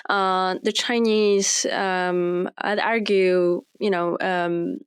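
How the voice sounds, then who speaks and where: A young woman from Morocco, a research fellow answering interview questions in a measured way with many fillers and restarts. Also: fairly low pitch, fairly even intonation, clear, resonant tone, dry recording.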